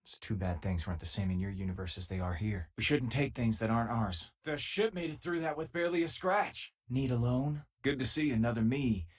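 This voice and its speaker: An actor playing an easygoing, wisecracking spacefaring companion in a video game, talking in a casual, wry, conversational way. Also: male voice, mid-range voice, brisk, few pauses, slightly rough, natural voice. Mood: amused, casual. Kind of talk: video game voice acting, conversational companion lines and combat callouts.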